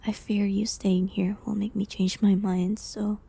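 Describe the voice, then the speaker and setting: Actress as accused medieval witch, female, gentle and sorrowful, extremely breathy half-whisper, slow hesitant pleading, resigned, intimate roleplay drama. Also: mid-range voice, flat delivery. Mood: tearful farewell. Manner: slow, hesitant, pleading, slightly formal old-fashioned wording.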